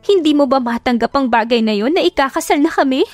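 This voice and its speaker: A woman's voice: sits high, animated, fast, darkish tone, no echo, faint music behind, pitched above the performer's own voice. Woman acting out a smug, faux-sweet rich rival. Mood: wronged, pleading. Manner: coy taunting, code-switching into English. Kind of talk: audio drama storybook acting.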